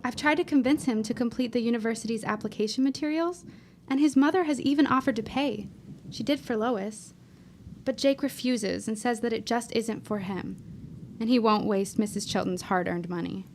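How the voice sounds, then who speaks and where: Casting: actress for young woman in period audio drama, higher register, expressive, earnest and determined, faint noise underneath. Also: moderate pace, lightly acted. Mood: admiring. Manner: earnest, thoughtful.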